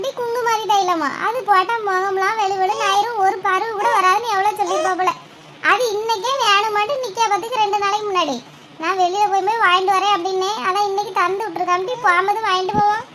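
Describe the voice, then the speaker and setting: A woman's voice: very high voice, background noise. A woman playing a chatty, mildly exasperated village mother, grumbling in drama dialogue with a southern Tamil Nadu accent. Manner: gossipy, grumbling.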